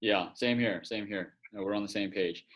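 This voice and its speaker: A man hosting a casual interview, easygoing and quick to laugh, asking long rambling questions. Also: on the low side, fairly bright tone, a little hiss or hum. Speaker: host, acupuncturist.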